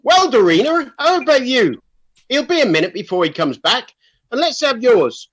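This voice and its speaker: An actor playing an exuberant beer-loving village amateur singer, hearty and encouraging, in a radio comedy. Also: male voice, very high voice, sing-song, moderate pace, a bit bright, full character voice. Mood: bossy, playful. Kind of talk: radio comedy drama acting.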